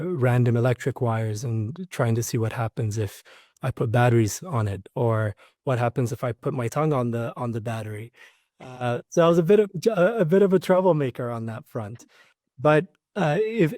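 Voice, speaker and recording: A man's voice: mid-range voice, darkish tone, no echo, clean studio sound. A man from Ethiopia, a start-up founder, answering interview questions thoughtfully with frequent uh fillers.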